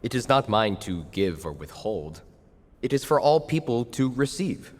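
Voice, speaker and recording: A man performing a solemn, kindly male spirit in an audio drama. His words are measured and grave, like moral instruction, and ring out in a long reverb. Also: medium pitch, very animated. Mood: solemn, gentle.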